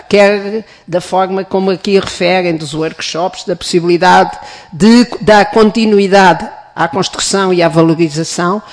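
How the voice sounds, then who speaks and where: Member of parliament, woman, European Portuguese accent, formal committee remarks, halting and filler-heavy delivery. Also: on the low side, darkish tone. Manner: hesitant, many fillers and restarts.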